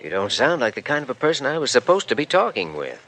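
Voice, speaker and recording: An actor in a 1950s radio drama playing an earnest young male doctor, gentle and persuasive. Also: mid-range voice, big pitch swings, fast.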